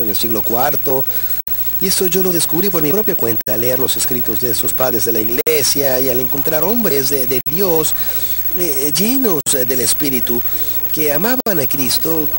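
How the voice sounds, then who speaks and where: A man's voice: medium pitch, noisy background. Earnest man from Texas, thoughtful pace. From Texas, USA. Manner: earnest and reflective, with frequent hesitations. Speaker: interview guest. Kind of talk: personal faith testimony in conversation.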